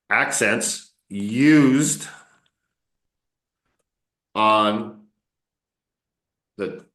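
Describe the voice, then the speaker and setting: A man coaching English students in a casual online class, relaxed and encouraging, often joking with them. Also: fairly low pitch, echoey room. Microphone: video call.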